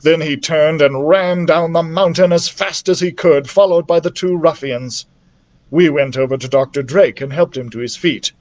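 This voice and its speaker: A man's voice: a little high, normal speed, darkish tone. A man reading a children's story aloud expressively as a library storyteller.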